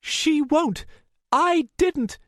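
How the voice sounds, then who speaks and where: A man's voice: very high-pitched, drawn-out words, no room sound, clean studio sound, pitched above the performer's own voice, full character voice. A man voicing a frightened, guilt-stricken young woman in an audiobook, pleading urgently in a strained voice that threatens to break. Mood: shaky pleading.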